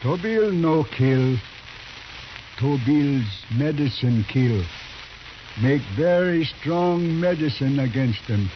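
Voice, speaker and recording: A man's voice: mid-range voice, lively intonation, slow. An actor playing a proud, stoic old Native man in a radio western, speaking solemnly in terse broken English. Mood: quiet defiance. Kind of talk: 1950s radio western acting. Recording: hissy, muffled old radio recording.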